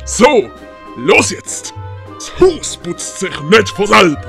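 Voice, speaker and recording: A woman putting on a bossy old great-uncle with a gravelly, creaky edge and a sharp bite to his words. He is gruff and barks orders in a slow, drawn-out way. Storybook music plays behind him. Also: medium pitch, big pitch swings, full character voice.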